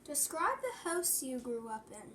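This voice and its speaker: A woman's voice: high voice, very animated, a little slow, background noise. A young woman interviewing an elder for a school project, tentative and often restarting her questions. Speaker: student interviewer.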